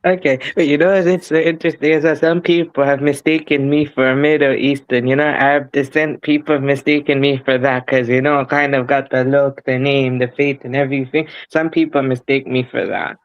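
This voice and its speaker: A man's voice: medium pitch, level tone, fairly quick, hardly any pauses. London guy w multicultural accent, easygoing chatty, muffled lo-fi audio, no room echo. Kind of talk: casual chat.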